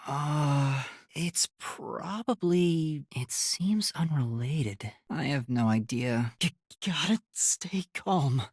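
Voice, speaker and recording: An earnest young detective from a video game, boyish and slightly husky. His delivery swings widely in pitch, timid at heart yet determined, with every word carefully placed. The recording is close and dry. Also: animated, slow pace, dry recording, exaggerated voice. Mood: hesitant, mild. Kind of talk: English video game voice acting, scripted.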